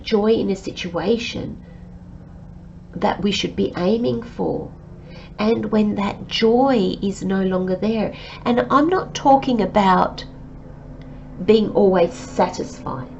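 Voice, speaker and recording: A woman giving a tarot reading to her listeners, talking in a slow, reflective and gently encouraging way while she has a cold. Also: medium pitch, lo-fi sound, a little hiss or hum. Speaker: tarot reader.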